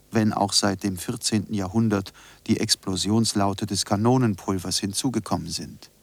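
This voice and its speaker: A learned, measured scholar reads a scripted radio essay. His voice is on the low side and recorded completely dry, with no room around it. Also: no echo. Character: learned male composer and soundscape researcher, measured. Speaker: lecturing expert.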